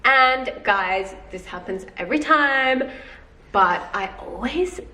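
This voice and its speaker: A woman's voice: high voice, animated, slow pace, bright, forceful tone. Chatty young woman with a head cold. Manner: chatty, filler-heavy, keeps addressing viewers as guys. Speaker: vlogger. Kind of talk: casual video diary to viewers. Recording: roomy, slightly distant, faint noise.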